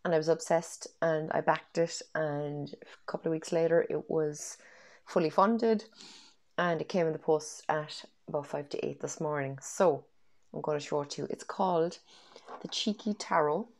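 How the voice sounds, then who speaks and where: A woman's voice: deep voice, a little mellow. An Irish woman in her thirties, congested from a cold, chatting enthusiastically in a home vlog through earphone mic in her bedroom, with plenty of ums. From Ireland. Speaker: tarot deck reviewer. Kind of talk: casual vlog chat. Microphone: wired earphone mic.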